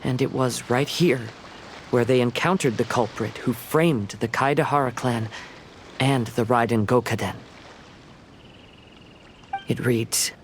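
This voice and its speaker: A gentle young wandering samurai, voiced by a man, tells his tale in a mid-pitched voice. He is measured, earnest and formal, in video game style, over faint music. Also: natural voice. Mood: calm, earnest, then solemn. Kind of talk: video game voice acting, storytelling.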